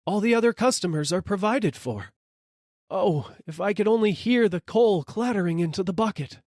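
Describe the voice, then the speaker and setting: A man acts out a poor, freezing customer from a storybook, crying out humbly and desperately. The pleading voice rides high and swings widely in pitch, in a dry, close sound. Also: lively intonation, moderate pace, a little mellow, no echo.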